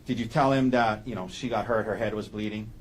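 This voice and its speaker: A man speaking as an attorney in courtroom questioning, putting halting, leading questions and checking each with a short tag. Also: on the low side, a little hiss or hum. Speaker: defense attorney questioning a witness.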